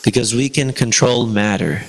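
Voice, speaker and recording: A man's voice: medium pitch, normal speed, low-quality recording. A man whose first language is Spanish, giving an informal devotional class in a chatty way, with rhetorical questions to his listeners. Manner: conversational, many fillers and rhetorical "right?". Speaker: religious class teacher.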